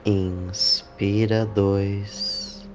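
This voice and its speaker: A man leading a guided meditation, speaking in deliberate phrases with long pauses. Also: lowish voice, little pitch movement, lo-fi sound. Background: clear background music.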